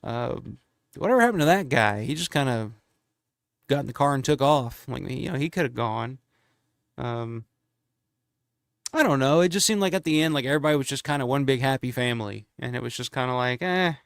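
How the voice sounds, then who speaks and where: A man hosts a casual live stream while congested and losing his voice a little. He rambles, restarts and fills gaps with ums and likes. His voice is smooth and resonant, recorded dry and close. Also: mid-range voice, expressive, fairly quick, no echo. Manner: rambling, many fillers and restarts.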